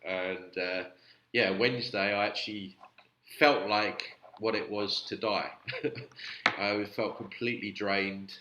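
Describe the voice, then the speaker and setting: A man's voice: on the low side, fairly even intonation, slow, echoey room, lo-fi sound, background noise. A man with a cold, sounding nasal, hosting a chatty, rambling commentary on his channel. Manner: chatty, rambling, with many fillers. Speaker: YouTube channel host reviewing videos. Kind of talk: casual vlog commentary.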